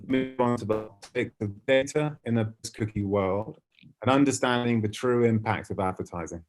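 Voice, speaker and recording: A man with a British accent and a fairly low voice delivers a prepared online business presentation. He speaks in a polished, articulate corporate way, as a conference speaker addressing professionals. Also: moderate pace, faint music behind. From United Kingdom. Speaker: conference speaker, ad tech company representative.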